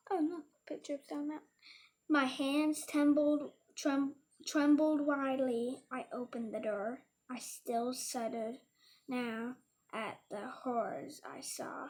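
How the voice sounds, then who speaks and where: A woman's voice: sits high, a little mellow. A young girl reading a rhyming storybook aloud and chatting casually, stumbling over and repeating words. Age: child.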